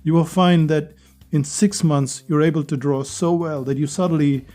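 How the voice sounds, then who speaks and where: A man's voice: fairly high pitch, steady tone, normal speed, dark tone. A man hosting a solo podcast, talking in an earnest, conversational way and repeating phrases for emphasis. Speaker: podcast host.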